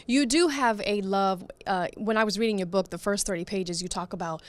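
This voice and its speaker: A woman radio host in a studio, talking in an upbeat interview manner. Her voice sits at a medium pitch. She speaks close to the microphone and the sound is dry, so the room adds almost no reverb. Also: fairly quick. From Puerto Rico.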